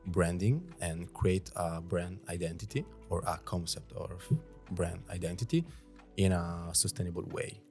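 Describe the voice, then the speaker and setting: A man in his early twenties, a design student, answers interview questions haltingly, searching for words. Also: deep voice, darkish tone, soft background music. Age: 20s. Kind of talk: casual interview.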